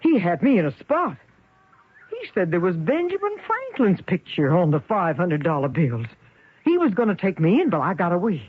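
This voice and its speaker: An actress playing a shrewd, wealthy older widow in a theatrical, teasing radio drama style. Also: female voice, on the low side, huge pitch swings, moderate pace, breathy edge, heavily acted. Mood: anxious, pleading. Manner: theatrical, teasing, folksy exclamations. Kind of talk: 1970s radio drama acting. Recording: tinny, noisy old radio recording.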